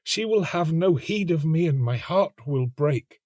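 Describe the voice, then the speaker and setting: A lovelorn young student from a storybook, acted out by a male narrator. His voice is lifted high and slowed into long, plaintive phrases, full of melodramatic romantic despair. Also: animated, darkish tone, dry recording, studio quality. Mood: wistful, self-pitying. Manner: plaintive, melodramatic, drawn-out sighing delivery. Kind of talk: storybook reading.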